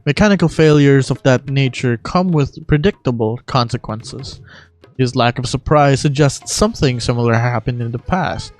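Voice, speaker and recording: A man's voice: medium pitch, moderate pace, faint music behind, close to an everyday voice. A man voicing an aloof, analytical young male scholar in a game, speaking formally and precisely. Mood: detached, explaining. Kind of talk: game character voice acting.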